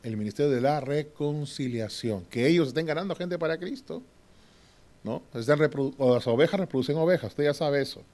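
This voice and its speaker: A man teaching a Bible class in a preaching style. He often asks the class to agree and moves between loud and hushed delivery. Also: on the high side, a little fast. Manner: swings from loud to hushed, with short laughs.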